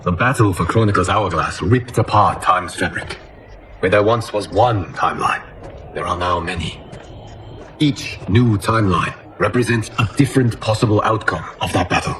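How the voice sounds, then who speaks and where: An actor playing a composed guardian god of time, grave and formal, in a video game cutscene. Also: male voice, low voice, normal speed, noisy background, lightly acted. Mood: solemn. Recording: loud cinematic music over dialogue.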